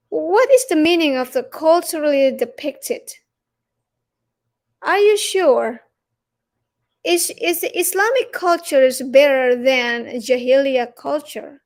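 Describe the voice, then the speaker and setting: A woman with an Indonesian accent presenting a religious lecture, speaking haltingly and emphatically in challenging rhetorical questions. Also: high voice. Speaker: presenter of a religious teaching series.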